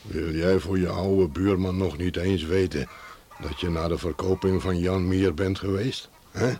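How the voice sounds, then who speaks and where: An actor playing a nosy, teasing old village neighbour who drawls, chuckles and repeats himself in a Dutch radio play. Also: male voice, sits low, steady tone, a little slow, mellow. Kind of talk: Dutch radio drama acting. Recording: old radio recording, faint noise.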